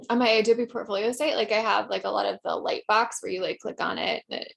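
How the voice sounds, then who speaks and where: A woman's voice: medium pitch, steady tone, fairly quick, vocal fry. A woman student talking casually and tentatively in a class discussion, peppering her speech with filler words. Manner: tentative, heavy use of "like".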